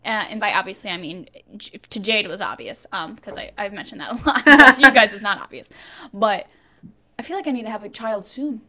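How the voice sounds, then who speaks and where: A woman's voice: mid-range voice, fairly quick, a crowd in the background. A woman in her twenties co-hosting a podcast, chatting casually and rambling with self-mocking asides that trail off. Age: 20s. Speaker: podcast co-host. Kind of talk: casual chat.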